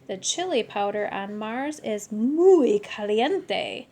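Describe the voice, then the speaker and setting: A woman's voice: medium pitch, animated, slow, darkish tone. A woman voicing tiny, boisterous chihuahua space travellers who mix Spanish words into their talk. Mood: rowdy, eager. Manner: exclamatory, mixing in Spanish words.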